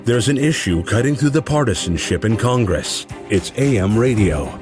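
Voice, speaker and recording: Lower-voiced male announcer over prominent music. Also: expressive, moderate pace. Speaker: radio ad announcer. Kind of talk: scripted public-service advertisement read. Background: music bed.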